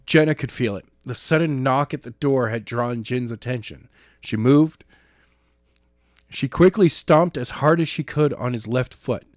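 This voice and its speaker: A man's voice: mid-range voice, measured pace, dry recording, studio quality. A man reading a story aloud as an amateur narrator, now and then stumbling and rereading a phrase. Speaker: audiobook narrator.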